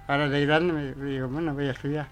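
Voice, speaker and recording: A middle-aged Argentine man giving informal interview answers in a halting, plain-spoken way. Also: on the high side, flat delivery, smooth. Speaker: adult literacy student, radio guest. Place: radio studio.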